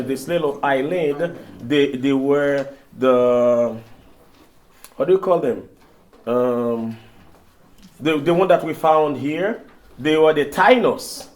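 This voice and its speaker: A man's voice: mid-range voice, darkish tone. A man from Haiti with a Haitian Creole accent, a native Creole speaker, teaching a language class and repeating phrases and questions to prompt the students. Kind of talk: interactive classroom lesson.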